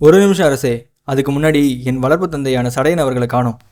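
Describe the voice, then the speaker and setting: A man playing an earnest, indignant young orphan at a royal court in an animated tale, polite but protesting. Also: medium pitch, fast, lightly acted. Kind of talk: animated moral story dialogue.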